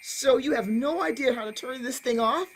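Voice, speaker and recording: A woman's voice: sits high, moderate pace, slight background noise, lightly acted. A woman voicing a timid, apologetic boy in an audio drama, hesitant and whiny. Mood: sheepish, anxious. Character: timid, apologetic bald boy, well-meaning bungler.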